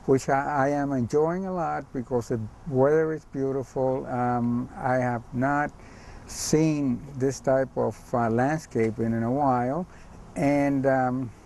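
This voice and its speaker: An older man from Cuba talks about himself at an unhurried pace, with a mellow, warm tone. He pauses often with ums and uhs as he goes. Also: mid-range voice, a little hiss or hum. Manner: hesitant, many ums and uhs. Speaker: visiting artist. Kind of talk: casual spoken account.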